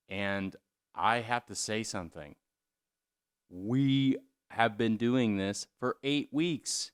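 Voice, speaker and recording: A man's voice: lowish voice, smooth, no room sound, clean studio sound. A man hosting for a theater company, making a casual appeal to listeners in informal, emphatic, stop-and-go phrasing.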